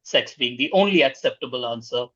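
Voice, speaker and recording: A man's voice: medium pitch, expressive, a little fast, bright, forceful tone, slightly rough, no echo. A man acting as quiz master, reading out questions and scoring answers over a dull-sounding online call.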